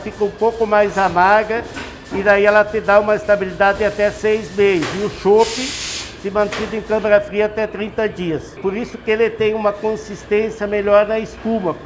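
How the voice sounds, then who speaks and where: A man, a master brewer explaining his craft in an unhurried, folksy, instructive way. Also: high voice, level tone, big-room sound, background noise. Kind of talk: explanatory interview answers.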